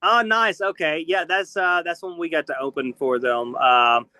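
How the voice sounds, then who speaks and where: A man's voice: on the high side, moderate pace, pushed and bright, no echo. A man from Georgia, a band member answering interview questions with many likes and ums. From Lawrenceville, Georgia. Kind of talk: casual interview chat.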